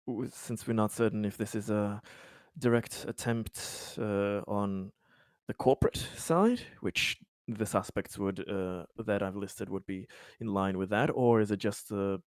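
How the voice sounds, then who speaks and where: A man improvising as a player in a tabletop roleplaying game, halting and hesitant, often stammering over repeated words. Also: fairly low pitch, no echo, clean studio sound.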